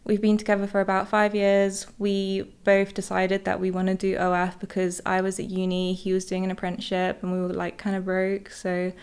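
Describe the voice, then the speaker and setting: A woman, a podcast guest chatting casually in a relaxed, soft-spoken way. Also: mid-range voice, a little fast, hardly any pauses, smooth. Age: 20s. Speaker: podcast guest, content creator. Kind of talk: casual chat.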